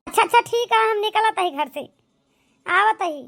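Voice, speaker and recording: A woman's voice: very high-pitched, lively intonation, moderate pace, creaky, a bit bright, heavily acted. A woman playing a grumbling village wife in an amateur cartoon, with an Awadhi accent and a complaining, gossipy manner. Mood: concerned. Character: grumbling village wife and resentful daughter Poonam. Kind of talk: amateur comedy cartoon dialogue in rural dialect.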